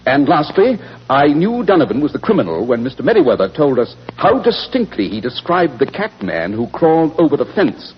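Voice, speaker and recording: English detective, male, British accent, very rough husky voice, self-assured, authoritative, muffled 1940s radio drama. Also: a little high, sing-song, brisk, few pauses. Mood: smug, explaining. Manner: crisply authoritative, smug, explanatory. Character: Sherlock Holmes, brisk, self-assured, clever English gentleman detective.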